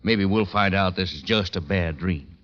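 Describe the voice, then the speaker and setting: Deep-voiced, skeptical police sergeant. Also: male voice, a bit bright, faint music behind. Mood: skeptical probing. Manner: halting, patronizing, colloquial. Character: skeptical, soothing police sergeant, tough night-shift cop. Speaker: radio drama actor. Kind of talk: 1940s-50s radio suspense acting. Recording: dull, muffled vintage radio recording.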